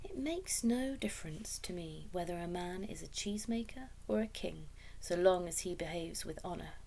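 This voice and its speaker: A woman's voice: on the low side, expressive, moderate pace, gravelly, dark tone, slight background noise, low-quality recording. A woman voicing a kind, clever noblewoman in a storybook, polite and careful, brave under nervous strain. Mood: firm, dignified.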